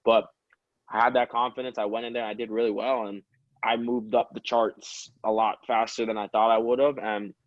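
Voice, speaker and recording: A man's voice: lowish voice, moderate pace, no room sound, lo-fi sound. A man in his early twenties, a guest chatting casually and rambling with frequent laughs. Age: 20s. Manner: relaxed, rambling, laughs often. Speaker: guest, professional soccer player. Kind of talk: casual interview chat.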